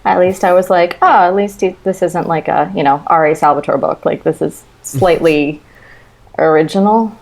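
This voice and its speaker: A woman's voice: lowish voice, moderate pace, darkish tone. A woman chatting casually as a guest in a book discussion, with a blunt, comically complaining manner. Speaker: podcast guest. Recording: clearly noisy recording with clicks.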